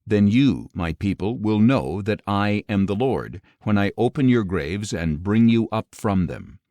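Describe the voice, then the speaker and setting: A man acts out a solemn, commanding God in an audio Bible. The voice is low and grave, declaiming in measured phrases that rise and fall widely. The sound is dry and close. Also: expressive, warm tone, no echo. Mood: solemn, promising. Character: commanding, solemn male God speaking prophecy. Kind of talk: audio Bible scripture reading.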